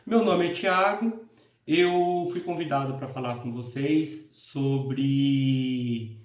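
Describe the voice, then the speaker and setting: A man's voice: medium pitch, slow pace. A man from the interior of Paraná, Brazil, with a northern Paraná accent, giving an informal law lecture, hesitant with many fillers and self-corrections. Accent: northern Paraná interior Brazilian Portuguese. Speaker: law professor and course coordinator. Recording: echoey room, mic at a distance.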